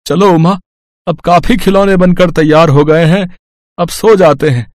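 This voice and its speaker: A man's voice: fairly high pitch, steady tone, a little slow, a little mellow, no echo, lightly acted. A man playing a poor, hopeful, hardworking village toy-maker in an earnest, humble way for a moral-story cartoon.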